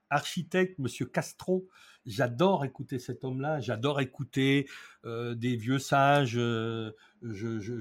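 A man's voice: mid-range voice, breathy edge. A man in his sixties, an interview guest from France who talks about housing in a reflective way, stalling often and then pressing his points hard. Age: 60s. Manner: hesitant, many restarts, then emphatic bursts.

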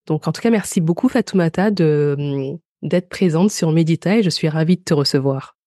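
A woman with a fairly low, very mellow voice hosts a conversational interview. The sound is dry, with hardly any room in it. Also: normal speed, no room sound. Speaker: podcast host, interviewer.

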